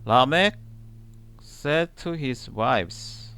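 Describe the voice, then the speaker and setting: A man reading scripture aloud in a halting way, phrase by phrase, with long pauses. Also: medium pitch, animated, pushed and bright, clear, resonant tone. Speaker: Bible reader, channel host. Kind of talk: slow read-aloud of scripture.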